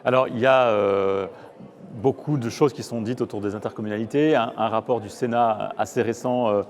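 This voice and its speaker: A man's voice: on the low side, fairly even intonation, moderate pace. A man interviewing, feeling his way through long questions with hesitant, roundabout phrasing.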